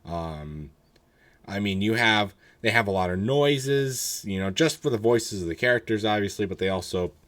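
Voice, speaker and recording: A hoarse young man casually reviewing a roller coaster, rambling with many fillers and blunt, candid asides. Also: fairly low pitch, lively intonation, normal speed, a bit bright.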